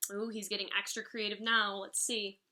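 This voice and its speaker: A woman's voice: mid-range voice, normal speed. A woman in her thirties, a music teacher, reading a picture book aloud to children expressively and explaining it as she goes. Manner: expressive, instructive. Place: living room at home, indoors. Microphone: device mic about arm's length away.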